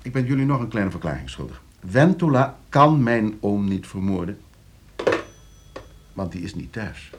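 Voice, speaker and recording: Man acting a smug, cold-blooded schemer, animated pitch swings. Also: moderate pace, a little hiss or hum, natural voice. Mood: self-assured. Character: smug, cold-blooded schemer playing a sinister game with friends. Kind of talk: radio play acting.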